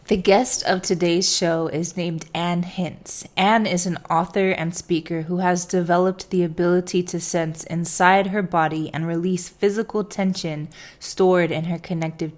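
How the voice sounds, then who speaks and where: A woman's voice: on the low side, fairly even intonation, a little slow. A young woman hosting a podcast from her living room. She opens the show and interviews her guest with upbeat enthusiasm. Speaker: podcast host.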